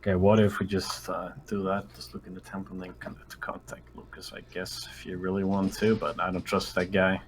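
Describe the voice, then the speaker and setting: A man's voice: sits low, level tone, a little fast, hardly any pauses, a little hiss or hum, poor audio. A man chatting casually as a player in a tabletop roleplaying game.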